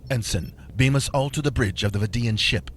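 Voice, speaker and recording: A man playing a calm, principled starship first officer in a science fiction audio drama. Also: mid-range voice, fairly quick, slight background noise, lightly acted. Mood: brisk.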